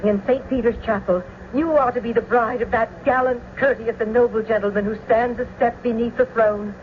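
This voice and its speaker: A woman's voice: on the high side, fairly even intonation, normal speed, natural voice. A woman playing a stately, middle-aged noblewoman and mother in a classical tragedy, formal and declamatory. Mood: brisk, firm. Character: stately, commanding noblewoman mother of a grown daughter. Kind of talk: classical tragedy radio acting. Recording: muffled old radio with faint noise.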